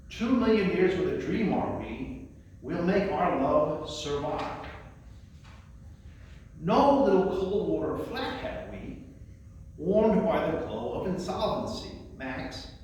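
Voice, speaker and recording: A man's voice: high voice, darkish tone. A man giving a spoken literary presentation as a librarian, with a theatrical, declamatory delivery. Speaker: librarian presenting a poetry reading. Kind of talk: spoken presentation and recitation of poems. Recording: very echoey room, distant mic, faint noise.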